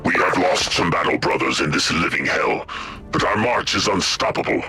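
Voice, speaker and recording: Man playing a stern low-voiced space marine grandmaster. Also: moderate pace, over music, lightly acted. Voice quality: commanding, gruff military delivery.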